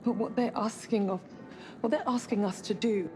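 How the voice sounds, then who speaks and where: An actress playing a reluctant young crew member in a sci-fi game, speaking in an earnest, hesitant, subdued way. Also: female voice, a little high, steady tone, normal speed, natural voice. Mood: reluctant, pleading. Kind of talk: cinematic game drama acting. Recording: music behind the voice.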